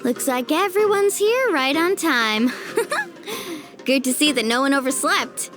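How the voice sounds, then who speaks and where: Upbeat, high-voiced young athlete. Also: female voice, lively intonation, a little slow, fairly bright tone, soft background music. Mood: teasing. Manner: breezy, encouraging. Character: upbeat, confident young woman athlete, friendly and teasing. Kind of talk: video game voice acting.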